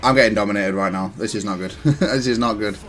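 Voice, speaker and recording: A man's voice: fairly low pitch, quick pace. Casual man analysing his own gameplay over music. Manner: self-critical, matter-of-fact, even-tempered. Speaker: gaming content creator commentating on own matches.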